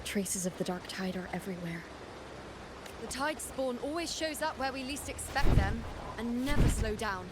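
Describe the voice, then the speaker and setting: A woman's voice: on the high side, expressive, moderate pace, heavy background noise, lightly acted. An actress playing a confident, playful and brash young warrior woman in a video game. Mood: determined. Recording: loud music behind.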